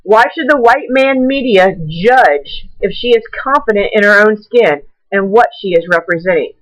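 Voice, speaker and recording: A woman reading a prepared essay aloud in a deliberate, measured way, now and then stumbling over a word. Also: mid-range voice, rough recording.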